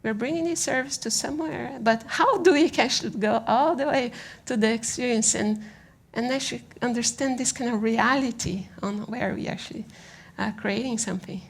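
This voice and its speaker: Gravelly-voiced Brazilian woman presenting. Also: on the high side. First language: Portuguese. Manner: halting, conversational, often checks with "right?". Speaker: conference speaker on design. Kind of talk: informal conference presentation.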